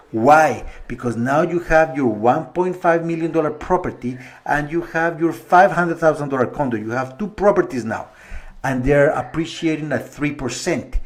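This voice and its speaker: A middle-aged man from Colombia, a mortgage broker talking viewers through figures step by step in a relaxed, conversational way. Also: fairly high pitch, moderate pace. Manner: conversational, walks through numbers step by step, slight restarts. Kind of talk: explanatory video monologue to viewers.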